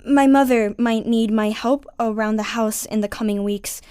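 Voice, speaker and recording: A woman's voice: on the high side, fairly even intonation, normal speed, mellow, clear, resonant tone, dry recording, clean studio sound, natural voice. A woman playing an earnest, polite twelve-year-old girl in a scripted audio drama, a little hesitant. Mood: casual, guarded.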